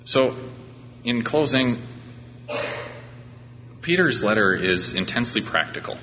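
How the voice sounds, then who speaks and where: A man with a deep voice preaching an informal, conversational sermon as a pastor, with a self-deprecating manner. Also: expressive, measured pace, bright, forceful tone. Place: large reverberant church room during a service. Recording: reverberant hall, muffled, background hum.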